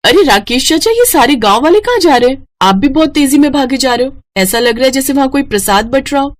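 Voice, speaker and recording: A woman's voice: high voice, expressive, fairly quick, voice pushed up. A woman voicing an earnest, determined young village girl in a cartoon story. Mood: curious, teasing.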